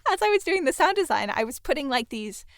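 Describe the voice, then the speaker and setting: A woman's voice: sits high, big pitch swings, quick pace, crowd noise. A woman chatting casually as she interviews a colleague, as a podcast host and sound designer.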